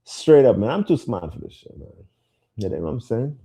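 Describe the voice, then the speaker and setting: Lively, emphatic male podcast co-host. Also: mid-range voice, normal speed, breathy edge. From immigrant to the US. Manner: animated, emphatic, street slang. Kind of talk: casual podcast chat.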